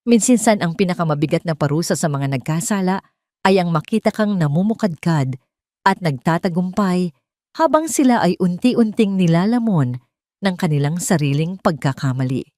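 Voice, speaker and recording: A woman reading a romantic drama as a storyteller, in a measured and heartfelt way, close to the mic. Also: lowish voice, expressive, warm tone, no room sound. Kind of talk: scripted drama narration.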